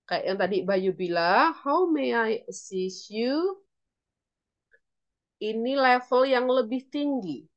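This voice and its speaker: High-voiced Indonesian woman lecturer. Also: animated, takes it slowly, highs cut off. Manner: instructive, repeats and translates phrases. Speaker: English lecturer. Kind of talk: online class lesson with example phrases. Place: online class call.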